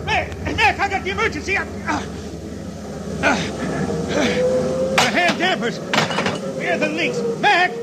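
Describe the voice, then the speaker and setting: An aging spaceman of 1950s radio drama, brave and folksy. His voice is very high, halting and urgent. Loud engine noise runs through the old recording. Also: animated, heavily acted. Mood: frantic alarm. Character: old-time spaceman singer, dying heroically in a power room.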